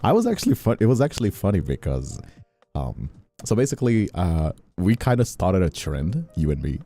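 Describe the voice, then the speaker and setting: A man's voice: deep voice, wildly swooping pitch, a little fast, warm tone. A young adult man chatting casually on a livestream, rambling, stammering and repeating his words. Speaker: streamer and ASMR creator. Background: background music.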